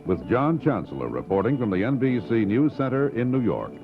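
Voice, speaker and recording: American guy doing formal tv news intros, very animated pitch, music under him, old broadcast. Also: brisk, phone-quality sound. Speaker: television news announcer.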